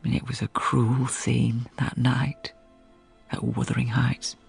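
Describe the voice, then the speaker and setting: Creaky-voiced, grave housekeeper storyteller. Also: female voice, rumbling low, flat delivery, slow pace, a little mellow, soft background music, heavily acted. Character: sensible, compassionate housekeeper recounting a tragedy. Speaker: narrator. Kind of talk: dramatic first-person narration and radio drama acting.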